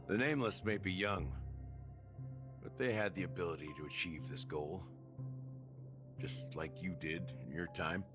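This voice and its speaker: A world-weary man, a wry rogue with a lowish voice. He muses very slowly, rueful and bittersweet, in a dramatic game monologue with music clearly behind him. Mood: wry, reflective. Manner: wry, unhurried, musing.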